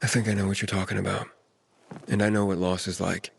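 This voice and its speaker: A wary, laconic wanderer in a video game. He speaks in a fairly low voice with calm, dry understatement. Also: fast talker, vocal fry, a little hiss or hum. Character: wary male wanderer on a search.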